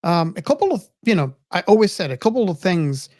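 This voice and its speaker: A woman's voice: lowish voice, sing-song, brisk, darkish tone, no echo, studio quality. A woman hosting a podcast in a casual, enthusiastic and earnest way, often repeating and restarting her words. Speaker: podcast host.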